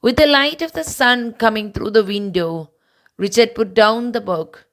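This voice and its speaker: Slow-paced woman reading a children's book aloud. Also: on the high side, fairly bright tone. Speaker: storybook reader. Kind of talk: read-aloud children's picture book narration.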